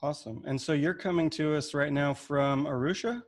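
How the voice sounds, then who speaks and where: A man's voice: fairly high pitch, normal speed. A man in his thirties moderating an online panel on a video call from a room at home, talking in a loose, easygoing way with frequent restarts. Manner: relaxed, repeats words and restarts often. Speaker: webinar host and moderator. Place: home room with shelves of gems.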